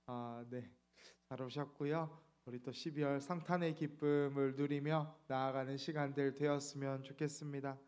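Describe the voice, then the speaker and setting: A man's voice: medium pitch, steady tone, moderate pace, few pauses, darkish tone, smooth. A man in his thirties, a pastor, giving a reflective midweek sermon with frequent fillers. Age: 30s.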